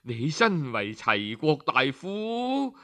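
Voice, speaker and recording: A man's voice: a little high, big pitch swings, takes it slowly, bright, forceful tone, voice pushed down, exaggerated voice. A male storyteller voicing a stern mother who scolds her son indignantly in a folk tale. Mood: reproachful. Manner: reproachful, indignant.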